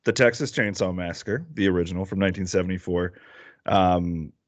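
A man's voice: deep voice, brisk, dry recording. A man with an American accent, a guest on a horror film podcast, chatting casually and rambling with many fillers and restarts. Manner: rambling, lots of fillers and restarts, laughs easily.

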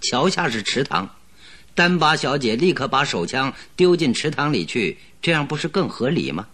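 A man playing a shrewd detective in a radio drama, questioning and reasoning in a deliberate way. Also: mid-range voice, very animated, bright, forceful tone, husky, full character voice. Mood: reasoning, sceptical. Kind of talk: Mandarin radio drama acting.

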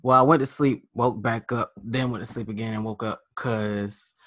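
A young man co-hosting a casual podcast, talking in slangy, rambling, animated bursts. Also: medium pitch, moderate pace, dry recording, clean studio sound. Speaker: podcast co-host. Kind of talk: casual chat.

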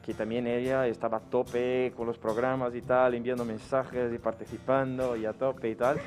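Excited podcast host. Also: male voice, mid-range voice, normal speed, soft background music. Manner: enthusiastic, swears casually, many restarts. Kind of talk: casual chat.

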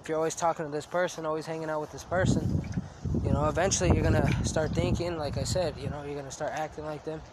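A man's voice: a little high, fairly even intonation, fast, heavy background noise. Sniffly man rambling on his podcast. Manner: rambling, many fillers and restarts. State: sniffly with a cold. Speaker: podcast host. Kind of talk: casual solo podcast talk.

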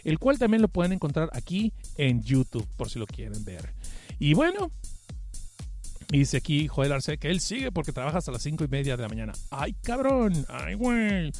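A middle-aged Mexican man speaks in a fairly high register, with a cold in his voice. He hosts a live stream in an informal, slangy way, poking fun at himself as he reads comments. Music plays behind him. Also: very animated, moderate pace, darkish tone. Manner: informal, slangy, self-deprecating. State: has a cough and cold. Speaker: podcast host. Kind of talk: casual live stream chat, reading listener comments.

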